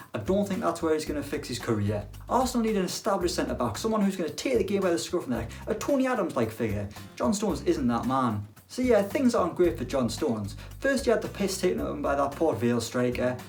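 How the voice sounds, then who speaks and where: Lively, self-mocking lad. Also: male voice, fairly high pitch, fast talker, gravelly, few pauses, soft background music. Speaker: football presenter. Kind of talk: casual football chat to camera.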